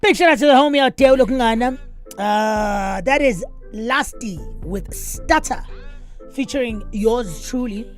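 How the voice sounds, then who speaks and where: A man's voice: very high voice, a little slow, soft background music. A man, a rapper, chatting casually and jokingly to his live stream viewers between songs, switching easily between English and Zulu.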